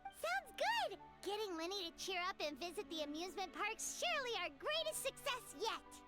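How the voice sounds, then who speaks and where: Tiny childlike fairy companion, extremely high, very animated, chirpy, eager, video game fan dub. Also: female voice, moderate pace, over loud music. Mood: excited. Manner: perky, speaks of self in third person.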